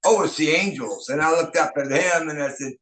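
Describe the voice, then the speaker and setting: A man's voice: on the high side, brisk, a bit bright, a little hiss or hum. A man, a rock band's singer and guitarist, telling stories from his past in a loose, rambling way, with many fillers and restarts. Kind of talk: casual interview storytelling. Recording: echoey room.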